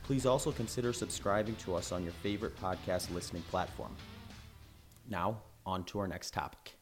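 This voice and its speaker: A man reading a scripted podcast introduction in a measured, steady way. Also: low-pitched. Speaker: show announcer. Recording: music bed behind voice.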